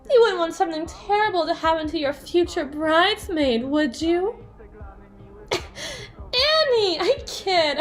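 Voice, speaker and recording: Woman voicing a flirty jealous yandere bestie for asmr roleplay, high voice that swings all over, sassy n teasing. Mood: teasing, coaxing. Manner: teasing, sassy, theatrical, drawn-out emphasis. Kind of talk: ASMR roleplay monologue addressed to the listener.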